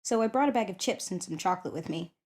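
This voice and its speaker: Woman doing an eager kinda shy popular high school girl, soft mellow voice, chatty asmr roleplay, thinks out loud. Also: animated, fast, close to an everyday voice. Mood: playful, eager. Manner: chatty, hesitant, thinking aloud. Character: shy, eager popular schoolgirl curious about tabletop games. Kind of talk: ASMR roleplay, one-sided conversation.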